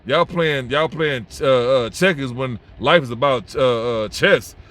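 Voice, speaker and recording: A man's voice: mid-range voice, measured pace, a bit bright. A young man, talking to camera in a blunt, repetitive, slangy way and saying "bro" a lot. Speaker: vlogger giving advice. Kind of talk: blunt unscripted monologue to camera.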